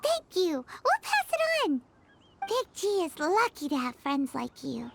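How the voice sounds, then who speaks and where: A woman voicing a small, cheerful, chatty childlike companion in a video game, squeaky and bubbly. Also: huge pitch swings, unhurried, vocal fry, very noisy, full character voice. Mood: grateful. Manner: bubbly, exclamatory. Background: game music.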